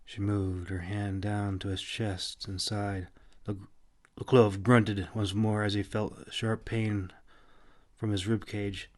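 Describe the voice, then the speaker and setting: A man's voice: fairly low pitch, flat delivery, takes it slowly. A man reading his own fantasy novel as scripted audiobook narration.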